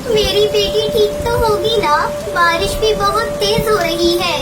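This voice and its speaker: A woman voicing a small, plucky, caring mother songbird in a children's cartoon, earnest and expressive. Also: extremely high pitch, unhurried. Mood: worried. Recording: loud music under the voice.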